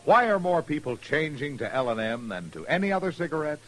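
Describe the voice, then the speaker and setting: Vintage radio pitchman, American man, wildly varied intonation, loud musical backing. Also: big pitch swings, fairly quick, loud music behind the voice, rough recording. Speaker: commercial announcer. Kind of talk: 1950s radio advertising read.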